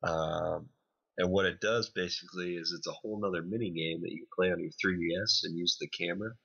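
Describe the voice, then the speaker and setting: A man co-hosting a podcast, giving a casual video game review in a hesitant, explanatory way with many pauses. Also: low-pitched, fairly even intonation, vocal fry, pushed and bright, breathy edge, dull audio. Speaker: podcast co-host reviewing games.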